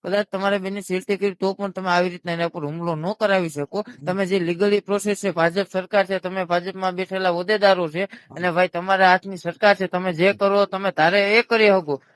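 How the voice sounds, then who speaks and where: A man's voice: high-pitched, little pitch movement, a little fast, a bit bright, no echo. A man in a heated phone conversation, explaining himself insistently and repeating his points. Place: recorded phone call.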